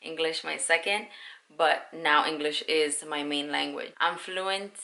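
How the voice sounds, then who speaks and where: A woman's voice: deep voice, steady tone, unhurried, gravelly, pushed and bright. A woman whose first language is Spanish, chatting casually as a small business owner and explaining her craft work step by step. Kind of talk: casual vlog chat.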